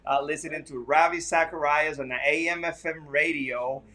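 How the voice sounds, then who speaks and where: A man's voice: on the high side, fairly even intonation, moderate pace, sharp tone. A man from Costa Rica, a ministry director, talking earnestly and upbeat in a casual livestream chat from a hotel. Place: hotel room.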